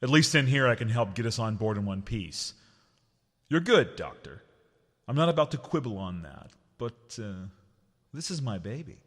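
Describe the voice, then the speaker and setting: A man acting as a seasoned-sounding, no-nonsense commander in a science-fiction audio drama. He speaks at a middling pitch, dry and wry, giving orders with gruff authority. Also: sing-song, normal speed. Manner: dry, commanding, plain-spoken. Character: gruff, decisive, wry male base commander.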